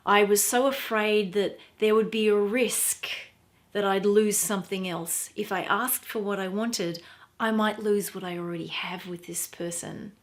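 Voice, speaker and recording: Middle-aged woman, quiet soft voice, earnest and emphatic, talking straight to camera on a clip mic. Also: medium pitch, fairly even intonation, measured pace. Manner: earnest, emphatic, repeats for stress. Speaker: feminine empowerment teacher. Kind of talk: direct-to-camera talk.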